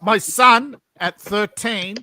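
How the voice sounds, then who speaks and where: A man's voice: high-pitched, takes it slowly, pushed and bright, a little hiss or hum. A man in his fifties in a casual group chat, chuckling often and ranting in bursts about his views. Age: 50s. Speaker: participant in an online group chat.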